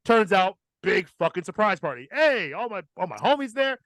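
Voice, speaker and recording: A man's voice: high voice, big pitch swings, normal speed, fairly bright tone, no echo. A man hosting a podcast, telling a personal story in a casual, profane and emphatic comic style.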